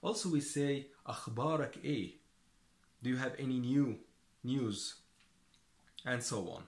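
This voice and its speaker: A man with an Egyptian accent and a medium-pitched voice teaches a language lesson. He is a native Arabic speaker who explains deliberately, saying words and phrases over again so learners can follow. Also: echoey room.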